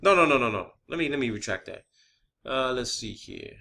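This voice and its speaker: A man's voice: mid-range voice, animated, fairly quick, creaky, pushed and bright. An American man in a rambling, informal monologue, cursing often, emphatic and scornful, repeating himself as he argues his opinions. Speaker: video host.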